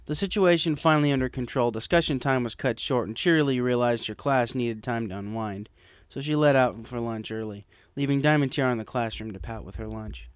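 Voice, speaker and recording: A man's voice: mid-range voice, a little fast, few pauses, no room sound. A man reading a story aloud as scripted narration. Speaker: fan fiction reader. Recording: clear background noise.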